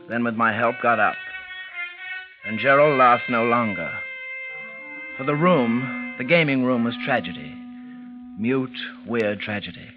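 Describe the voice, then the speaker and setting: An actor playing a restless young English gentleman who narrates in a measured, literary, dramatic radio style. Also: medium pitch, lightly acted. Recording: muffled vintage radio recording. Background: music.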